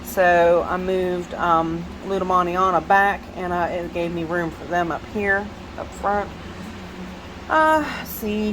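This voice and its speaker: A woman with a Southern US drawl gives an unhurried walk-through of her plants, pausing often with fillers and restarts. A head cold leaves her voice stuffy. An air conditioner hums steadily behind her on the noisy phone recording. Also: medium pitch. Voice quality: nasal when bending over. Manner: chatty, rambling with many fillers and restarts. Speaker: orchid grower giving a plant tour. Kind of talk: casual walk-through vlog narration.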